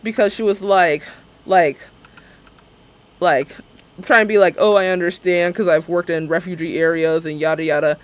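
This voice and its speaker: A man's voice: sits high, steady tone, moderate pace, pauses often. A young man in his twenties ranting casually on a livestream, sarcastically mimicking other people's words. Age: 20s. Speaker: streamer. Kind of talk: casual livestream chat while building in a game.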